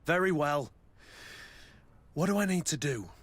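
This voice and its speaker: An actor playing a blunt, grumbling mercenary soldier in a video game, with a rough, husky voice and curt delivery. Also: male voice, a little high, expressive, measured pace, a bit bright, no echo, exaggerated voice. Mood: irritated. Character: gruff, grumbling mercenary soldier, adult man. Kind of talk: video game cutscene acting.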